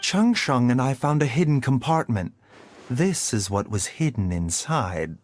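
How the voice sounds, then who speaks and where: A man playing a composed, learned doctor in a video game, speaking formally in measured explanations. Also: medium pitch, lively intonation, soft background music, natural voice. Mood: calm explaining.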